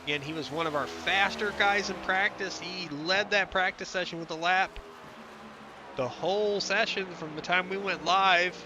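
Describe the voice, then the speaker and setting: A congested man with a slightly high, nasal voice talks through a sim race in easy play-by-play fashion. He reads out lap times and positions in a relaxed, chatty way. Steady noise sits behind him in the recording. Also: normal speed. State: congested, under the weather. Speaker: sim racing commentator. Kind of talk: live race broadcast commentary.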